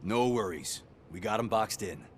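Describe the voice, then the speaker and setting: A steady police field commander from a video game , acted by a man. His voice is fairly low and his manner practical and matter-of-fact. Clear ambient noise runs behind him. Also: moderate pace, lightly acted. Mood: reassuring. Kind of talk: video game character acting.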